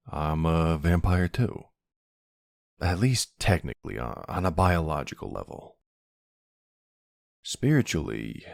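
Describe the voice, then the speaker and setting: A man playing a brooding, centuries-old vampire hunter of his own kind in an ASMR roleplay, speaking in a gravelly, deliberate, halting way. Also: low voice, no room sound, clean studio sound, exaggerated voice. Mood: grim, menacing. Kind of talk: ASMR audio roleplay, scripted dramatic monologue.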